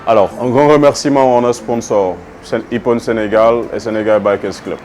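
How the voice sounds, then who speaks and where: A man's voice: medium pitch, steady tone, normal speed, darkish tone. A male host closing a motorbike interview show in a promotional tone. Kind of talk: show outro. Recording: faint music behind.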